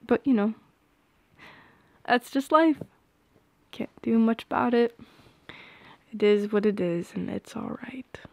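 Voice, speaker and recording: Soft, slow-talking young woman, ASMR roleplay. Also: on the high side, creaky, dry recording. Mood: gentle, confiding. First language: Polish. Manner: soft-spoken, hesitant, often dropping into whispers. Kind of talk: intimate ASMR roleplay acting. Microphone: close microphone.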